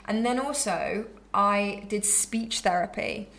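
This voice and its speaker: A woman's voice: mid-range voice, slow, creaky, a bit bright, poor audio. A woman in her thirties, a yoga teacher, telling her own story candidly and reflectively, with frequent ums and restarts. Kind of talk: personal storytelling monologue.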